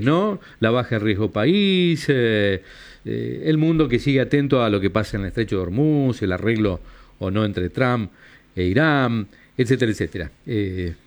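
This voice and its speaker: Argentine man, morning radio host, lively up-and-down voice. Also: medium pitch, normal speed. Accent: Argentine Spanish. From Mendoza, Argentina. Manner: lively, reading listener messages aloud.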